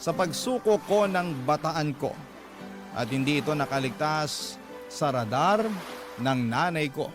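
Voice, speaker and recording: A man's voice: a little high, unhurried. A man with a Filipino accent narrating a first-person dramatic story as a radio storyteller. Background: music bed.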